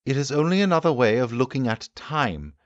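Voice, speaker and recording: Man acting an eager, high-pitched Victorian gentleman inventor. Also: animated, a little fast, no room sound, highs cut off, natural voice. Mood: eager explaining. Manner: emphatic, didactic, declamatory. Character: Victorian gentleman inventor, eager, assured, lecturing his guests. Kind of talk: audiobook character acting.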